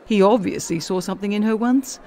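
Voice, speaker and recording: A woman's voice: medium pitch, brisk, mellow, close to an everyday voice. An actress playing a self-assured, all-knowing female schemer in a comedic audio drama. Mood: confident gossiping.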